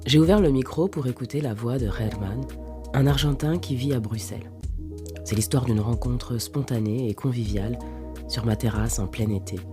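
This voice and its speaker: A woman hosting a podcast, reading scripted narration with measured, deliberate phrasing. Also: a bass voice, music in the background.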